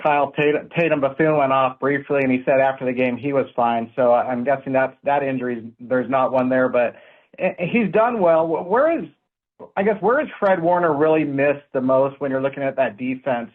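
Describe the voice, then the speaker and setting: A man working as a sports reporter, asking questions in a halting, conversational way on a phone conference call. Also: mid-range voice. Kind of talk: press questions. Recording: tinny, band-limited phone line.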